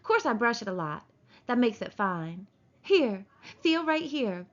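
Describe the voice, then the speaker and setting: A woman voicing a vain, chatty young ranch wife in an audiobook, folksy and quick to take offence. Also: a little high, very animated, lo-fi sound. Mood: complacent. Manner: folksy, complacent drawn-out speech. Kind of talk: audiobook character voice.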